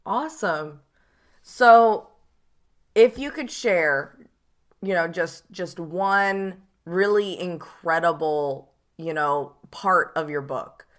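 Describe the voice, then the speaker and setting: A woman's voice: on the low side, takes it slowly. A woman hosting a podcast, asking questions in an enthusiastic, encouraging way. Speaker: podcast host. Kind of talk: podcast interview.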